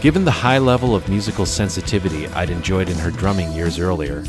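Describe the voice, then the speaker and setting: A man hosting a music podcast, reading a scripted intro and then asking measured questions. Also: deep voice. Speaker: podcast host. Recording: music bed under intro.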